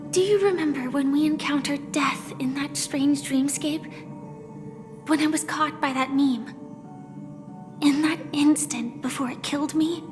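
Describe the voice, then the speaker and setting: An actress playing an earnest, wistful young woman hunter in a video game, speaking gently and with measured, hesitant pauses. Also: sits high. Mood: earnest, explanatory. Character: soft-spoken young female hunter confessing her secret identity, earnest, wistful. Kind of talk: scripted video game story dialogue. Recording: long reverb, music behind.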